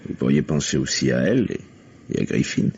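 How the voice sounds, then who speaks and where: An actor playing a stern, controlled police investigator who questions curtly and insistently in a vintage radio mystery. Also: male voice, a bass voice, expressive, fairly quick, a little mellow, slightly rough, full character voice. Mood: grave. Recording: dull, lo-fi old radio recording.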